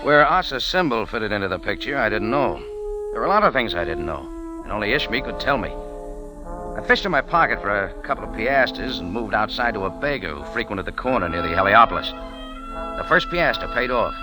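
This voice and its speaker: A man's voice: on the low side, sing-song, normal speed, music in the background, poor audio. An American man playing a wisecracking, world-weary hero in a hardboiled old radio drama, sardonic and clipped. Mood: dry narration. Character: wisecracking, tough, world-weary cafe-owner adventurer hero. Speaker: lead actor and narrator. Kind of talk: 1940s-50s hardboiled radio drama narration and acting.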